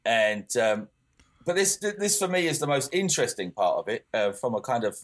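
A man's voice: mid-range voice, sing-song, normal speed, slight background noise. A young man co-hosting a podcast, talking casually with lots of fillers and restarts. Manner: rambling, many fillers and restarts. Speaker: podcast co-host. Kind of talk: casual chat.

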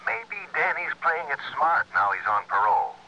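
An actor playing a fussy, eager insurance man on the telephone in a radio drama, inquisitive and raspy. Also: fairly high pitch, moderate pace, highs cut off, full character voice. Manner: animated, inquisitive, deliberating aloud. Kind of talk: 1960s radio drama acting. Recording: thin telephone voice on old radio recording.

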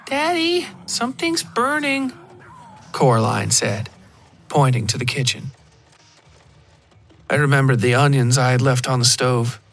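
A man giving a scripted first-person narration of dark horror fiction. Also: mid-range voice, steady tone, normal speed, creaky, long pauses. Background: music.

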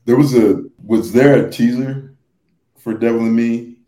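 A man talking casually about games as a podcast panelist, haltingly, often repeating and restarting his phrases. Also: mid-range voice, steady tone, warm tone. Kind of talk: casual gaming discussion. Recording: roomy, echoing sound.

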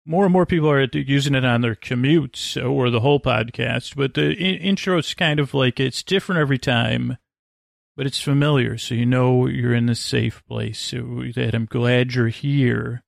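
Creaky, meandering man hosting a bedtime podcast. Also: medium pitch, steady tone, dry recording, clean studio sound. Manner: meandering, digressive, halting with self-interruptions; lulling, gentle. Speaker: podcast host. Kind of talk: rambling, soothing bedtime monologue.

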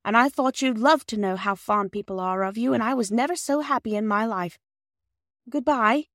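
A woman's voice: a little high, moderate pace, a bit bright, no echo, clean studio sound, pitched above the performer's own voice, lightly acted. A woman voicing an earnest, eager, well-spoken young girl in a storybook, talking in breathless runs. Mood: earnest, pleading.

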